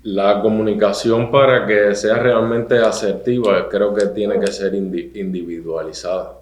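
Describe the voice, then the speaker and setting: A man, a podcast co-host speaking deliberately and thinking aloud with fillers. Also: on the low side, fairly even intonation, reverberant. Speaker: podcast co-host, university professor. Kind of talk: panel discussion on a podcast.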